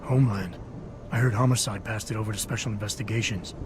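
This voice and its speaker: An actor playing a brooding, guarded corporate security officer in a video game, terse and deadpan with a gravelly voice. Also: male voice, lowish voice, quick pace, background noise, lightly acted. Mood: brooding, probing.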